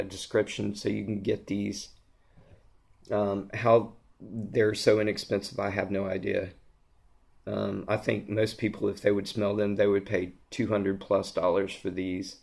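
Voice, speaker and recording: A man with a hoarse voice, losing it to a sore throat, giving a rambling, gushing fragrance review in a casual vlog, with lots of ums and restarts. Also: lowish voice, a little mellow.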